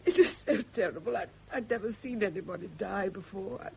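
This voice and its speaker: An actress playing a haunted, grieving Englishwoman in a radio drama, husky and breathy, near tears. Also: medium pitch, a little fast, dark tone. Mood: distraught. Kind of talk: mid-century radio drama acting. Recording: dull old radio recording.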